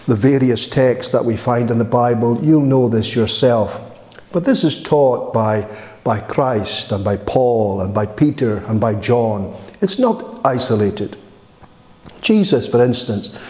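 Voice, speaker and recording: A middle-aged male minister preaching a sermon from a lectern, measured and solemn, building emphasis through repeated phrases. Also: mid-range voice, darkish tone, reverberant. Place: church hall, indoors.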